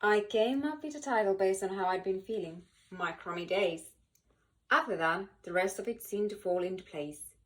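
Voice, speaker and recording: A woman reading a children's picture book aloud in an animated, expressive way, with dramatic pauses and emphasis. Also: medium pitch, low-quality recording. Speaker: volunteer children's book reader.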